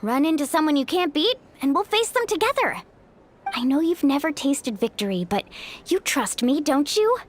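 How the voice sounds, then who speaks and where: Upbeat young game heroine, high bouncy voice, breezy and encouraging. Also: female voice, very animated, moderate pace, slight background noise. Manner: breezy, reassuring. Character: confident, upbeat young woman fighter, loyal and encouraging. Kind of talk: video game voice acting.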